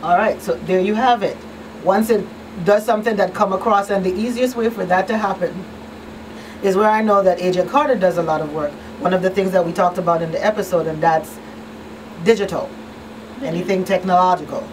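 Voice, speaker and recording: Middle-aged female talk show interviewer, conversational, distant from the mic over clear room noise. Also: fairly low pitch, brisk. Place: small radio studio.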